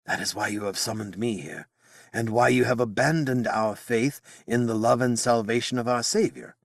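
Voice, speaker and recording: A man's voice: mid-range voice, normal speed, no room sound, studio quality, voice pushed up, lightly acted. A man voicing an old bald male wizard, agitated and reproachful, in an audiobook reading. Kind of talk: audiobook character voice.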